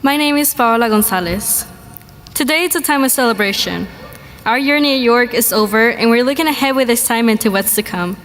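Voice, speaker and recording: A teenage girl from Mexico, a graduating student, giving an earnest, measured commencement speech at a podium in a large hall. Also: on the high side, a bit bright. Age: teens. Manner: earnest, measured, slow delivery with pauses. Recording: reverberant hall, faint background noise.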